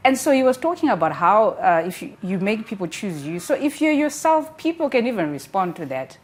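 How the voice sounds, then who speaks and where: A woman's voice: mid-range voice, sing-song, a little fast. A woman reviewing a book on a studio talk show, earnest and encouraging, with many casual fillers.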